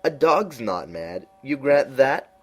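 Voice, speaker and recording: A man voicing a sly, grinning male cat in a storybook reading, drawing out his lines slowly and playfully. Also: mid-range voice, big pitch swings, voice pushed up. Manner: slow, drawn-out, playful delivery.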